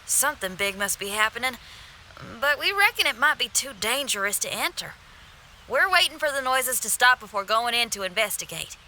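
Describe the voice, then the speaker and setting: Feisty, high, sharp-voiced young heroine. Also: female voice, sing-song, brisk, slight background noise, full character voice. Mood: worried. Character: feisty, cautious young woman, protective and blunt. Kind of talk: video game voice acting. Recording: music behind the voice.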